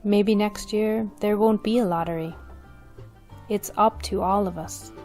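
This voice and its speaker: A woman narrating a radio drama in a solemn, deliberate manner. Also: mid-range voice, fairly even intonation, darkish tone, soft background music, close to an everyday voice.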